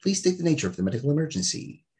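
A man's voice: fairly high pitch, very animated, racing, a little mellow, breathy edge. A man hosting a podcast in casual, enthusiastic chat, joking and swearing freely. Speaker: podcast host.

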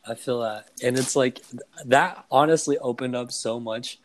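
A man's voice: mid-range voice, normal speed. A young adult man who grew up in the United States and China, hosting a podcast and talking casually with a blunt, swear-heavy manner. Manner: blunt, swears freely, relaxed. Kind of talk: casual conversation.

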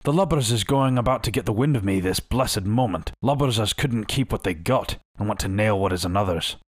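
A man voicing a sick, rum-craving old sea captain who talks in rough sailor's dialect, weak-voiced but hearty. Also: on the low side, fairly quick, dry recording, studio quality, close to an everyday voice. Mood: blustering.

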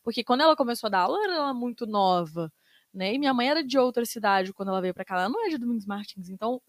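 A woman's voice: on the high side, expressive, very fast, dry recording. A young woman from Brazil talking earnestly in a casual chat, speaking emphatically with many fillers. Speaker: guest.

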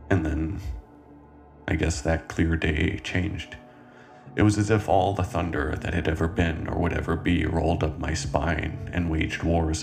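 A man playing a brooding, unsettled cannibal confessing his past in a slow, deliberate horror monologue. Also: low voice, gravelly. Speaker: horror anthology writer and narrator. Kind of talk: first-person horror monologue, dramatic reading.

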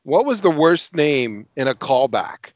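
A man's voice: a little high, sing-song, measured pace, no room sound. A middle-aged man co-hosting a casual podcast, chatty and self-mocking, laughing easily. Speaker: podcast co-host. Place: home, two floors from family.

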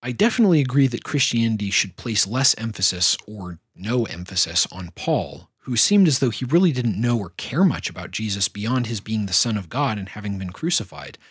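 A man hosting a solo podcast, talking in a measured, thoughtful way. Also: fairly low pitch, animated, breathy edge, no room sound, clean studio sound. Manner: measured, thoughtful delivery with fillers. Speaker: podcast host. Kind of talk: reflective solo podcast talk.

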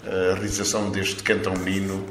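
A man's voice: low-pitched, completely flat delivery, brisk, a bit bright, a little hiss or hum. A man interviewing a young guest with leading questions and informal address. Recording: roomy echo.

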